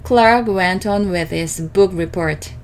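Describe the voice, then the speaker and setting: A woman reading a picture book aloud to children in expressive narration. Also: lowish voice, slow pace. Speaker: storybook reader.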